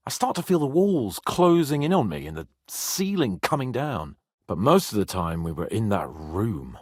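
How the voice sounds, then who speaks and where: A cocky young medieval nobleman for a video game. His delivery is theatrical and haughty, swinging very widely in pitch with a hot-tempered swagger. The sound is close and dry. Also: very animated, moderate pace, husky, no echo. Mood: low, subdued. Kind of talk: dramatic medieval game dialogue.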